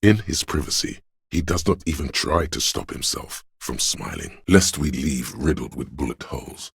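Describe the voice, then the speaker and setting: A man with a gravelly, husky voice reading scripted literary narration for a video game in a measured, solemn, deliberate way. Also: rumbling low, lively intonation, no echo, clean studio sound.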